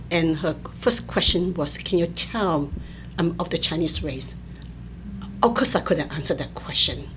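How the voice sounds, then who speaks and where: An older woman from Malaysia, a professor being interviewed, explaining in a measured way with many fillers. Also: low voice, expressive, music in the background, rough recording. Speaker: anthropology professor, guest. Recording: faint ambient noise.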